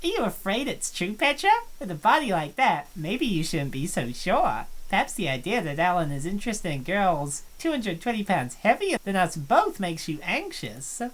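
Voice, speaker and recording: A woman's voice: on the low side, big pitch swings, normal speed, hardly any pauses, pitched below the performer's own voice. A woman voicing a self-assured, playful college girl who teases her rival in a visual novel. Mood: teasing. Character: teasing, confident plus-size college girl.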